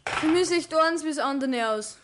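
A teenage apprentice lad, acted by a young man in an old radio play. His voice is high, with a Bavarian accent. He sounds sulky and defiant. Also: normal speed, background noise. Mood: sulky, defensive. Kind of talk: 1960s German radio play acting.

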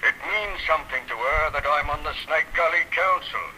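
A man's voice: fairly high pitch, moderate pace, pushed and bright, a little hiss or hum, low-quality recording. An actor playing a grumpy, careworn old Australian country father in a 1930s radio serial. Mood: worried, confiding. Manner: irritable, muttering while adding sums.

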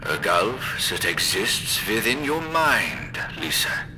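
A creepy calm shrink, super deep, slow, sharp-edged tone, horror radio play. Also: male voice, noisy background. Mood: coaxing. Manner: measured, coaxing clinical questions. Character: calm eccentric male psychiatrist, probing. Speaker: doctor. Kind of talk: horror audio drama acting.

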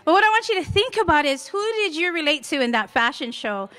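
A woman in her forties, originally from Hawaii, speaks into a podium mic in a large hall. Her voice is high and bright, and the room adds a long reverb tail. She talks in a chatty, encouraging way and slips in light jokes. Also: big pitch swings, fast talker. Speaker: women's day speaker. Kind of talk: church lesson talk. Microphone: stand mic at podium.

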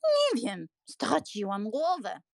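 Slow-spoken, flustered old spinster aunt. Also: female voice, fairly high pitch, huge pitch swings, vocal fry, no room sound, studio quality, full character voice. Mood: flustered, confiding. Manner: plaintive, fretful, easily shocked. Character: flustered, pious, sentimental elderly spinster aunt. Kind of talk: audiobook drama acting.

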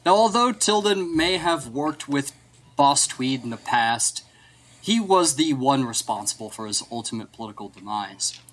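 A man's voice: mid-range voice, expressive, normal speed, fairly bright tone, rough recording. A man hosting a casual history podcast, explaining events from his notes and often laughing with his co-hosts. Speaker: podcast host presenting history.

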